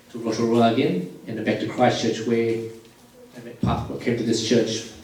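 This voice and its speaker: A man from Malaysia in his forties, a surgeon telling his life story to a church youth group in a relaxed, plain way with many "um"s. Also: mid-range voice. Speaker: surgeon giving a testimony. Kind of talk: personal testimony talk to youth. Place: church hall. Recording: very echoey hall, voice from far off, faint music.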